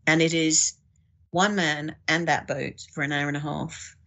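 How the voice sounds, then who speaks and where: A woman's voice: deep voice, little pitch movement, a little slow, a bit bright. A woman guest on a podcast, talking thoughtfully in a conversational way.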